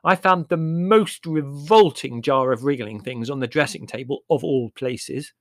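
A man's voice: mid-range voice, measured pace, fairly bright tone, dry recording, clean studio sound, pitched above the performer's own voice. A man voicing a prim, scornful young woman, a sister in an audiobook, speaking emphatically. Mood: disgusted. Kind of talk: audiobook character voice.

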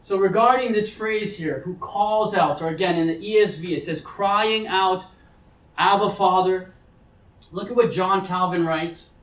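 A man's voice: high voice, a little slow, a bit bright. A man preaching a sermon as a pastor, teaching conversationally and earnestly, repeating phrases for emphasis. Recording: roomy, distant mic, dull sound.

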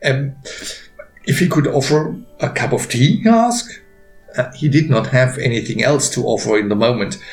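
A man narrating a written story in a deliberate, expressive way with pauses, as an author reading his own work. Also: mid-range voice. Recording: roomy echo, faint music behind.

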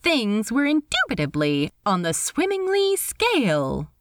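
A woman reads fiction aloud in an expressive storytelling style. Her pitch moves widely and animatedly while her pace stays slow. The sound is dry, with little room around it. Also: dry recording. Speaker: fan fiction reader. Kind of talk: expressive scripted audiobook narration.

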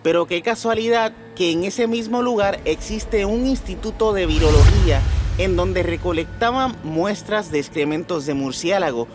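A man's voice: high voice, moderate pace. A man presenting scripted podcast commentary as host, putting rhetorical questions to his listeners. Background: background music.

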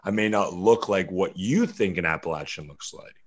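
A man's voice: low-pitched, moderate pace. A young man from West Virginia, a guest filmmaker and actor, talking casually in an interview and rambling with fillers and restarts. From West Virginia, USA. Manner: rambling, self-correcting, many fillers.

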